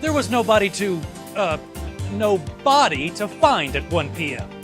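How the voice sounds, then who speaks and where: A man plays a rookie defense lawyer, earnest and excitable, with music behind him. His pitch jumps around in the animated style of a courtroom video game dub. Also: unhurried. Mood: bold, challenging. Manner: hesitant stammer, bursts into bold objections. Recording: music under the voice.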